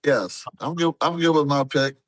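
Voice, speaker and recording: A man with a somewhat high voice talks casually as a guest. He is slangy and swears freely, recorded with almost no room echo. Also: normal speed, clean studio sound. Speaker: podcast guest. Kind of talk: casual chat.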